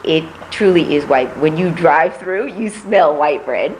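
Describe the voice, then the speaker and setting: Low-voiced reflective woman candidate. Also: animated, a little mellow, slight background noise, poor audio. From Puerto Rico, raised in Missouri. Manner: measured and reflective, frequent "um" pauses. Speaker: political candidate. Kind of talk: interview answers.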